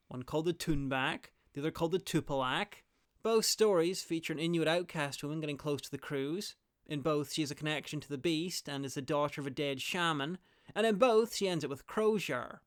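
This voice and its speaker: A man's voice: on the high side, clear, resonant tone, no echo. A man delivering a scripted review as a video host, with wry, dry asides.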